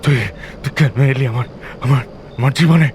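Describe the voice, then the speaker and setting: A man's voice: mid-range voice, moderate pace, darkish tone, a little hiss or hum, natural voice. A man acting a middle-aged, ruthless tycoon in an audio thriller, broken and pleading, stammering and repeating himself in desperation. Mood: shocked disbelief. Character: ruthless tycoon, broken, panicked and pleading before his accuser.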